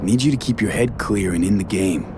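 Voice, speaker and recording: Man playing a low-voiced, terse American mercenary. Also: fairly quick, background noise, natural voice. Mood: dry, focused. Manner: terse, dry. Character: cool, guarded male mercenary, dry and focused. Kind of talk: video game voice acting.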